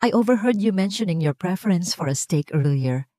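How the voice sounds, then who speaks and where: A woman's voice: on the low side, expressive, normal speed, dark tone, no echo, close to an everyday voice. A woman narrator plays a young waitress in an audio drama story, composed and courteous with an edge. Each word is placed with precise, emphatic care. The sound is close and dry. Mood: politely pointed. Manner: careful, emphatic, precise diction. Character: poised young waitress, calm, polite but pointed.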